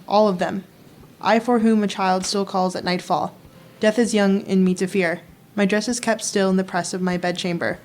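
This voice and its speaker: A young woman plays a dead woman returned from the tomb to prophesy. She intones poetic radio-drama lines with sorrow and solemn reluctance, in a medium-pitched voice. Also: a little mellow, lightly acted. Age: young adult. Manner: mournful, incantatory, solemn.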